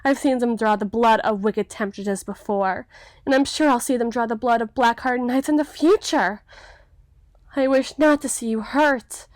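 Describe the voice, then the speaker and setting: A woman's voice: on the high side, normal speed, no echo, close to an everyday voice. A woman playing a young, melancholy, self-doubting storybook damsel in an ASMR fantasy roleplay, with theatrical, hesitant, old-fashioned formal phrasing. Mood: wary, discouraging.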